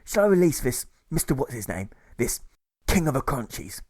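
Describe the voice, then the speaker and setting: A man playing a fumbling old colonel, high and lively voice, words trailing off, dry sound. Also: normal speed, no room sound. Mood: blustering. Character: rambling forgetful old army colonel.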